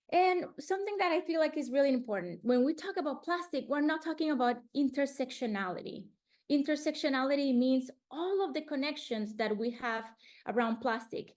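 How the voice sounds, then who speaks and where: A woman's voice: on the high side, a little mellow. A woman from Colombia, presenting slides in a webinar in an earnest way, often restarting words over a video call.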